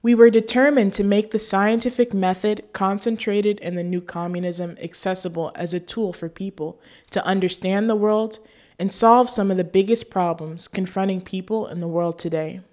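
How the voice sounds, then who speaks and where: A woman hosting a show, reading scripted narration and appeals to viewers in an earnest, deliberate way. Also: mid-range voice, darkish tone. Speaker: show host.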